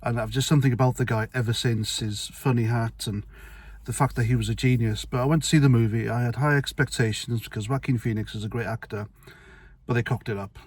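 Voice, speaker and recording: Middle-aged male vlogger, mid-pitched, dry sound, rambling and sweary. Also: steady tone, a little fast, husky, dry recording. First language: Welsh. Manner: blunt, rambling, sweary, with restarts. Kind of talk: casual opinion monologue to camera.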